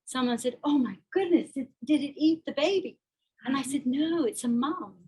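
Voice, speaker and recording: A woman's voice: high-pitched, moderate pace, darkish tone. A woman artist talking through a slideshow of her work, hesitant and thoughtful, with many restarts. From Botswana. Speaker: artist giving a talk. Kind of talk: presenting a slideshow talk.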